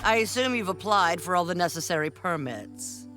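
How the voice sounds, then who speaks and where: A sarcastic adventuring companion in a video game. His voice is bright and forceful, with blunt, dry delivery and faint music underneath. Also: high-pitched, expressive, moderate pace. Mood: sardonic. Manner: dry, cutting. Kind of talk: game voice acting.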